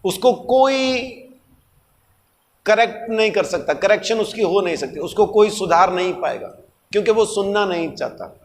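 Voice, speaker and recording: A man's voice: sits high, expressive, normal speed. A man preaching a sermon in an emphatic, teaching manner, using rhetorical questions and repeating key words. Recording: long hall reverb.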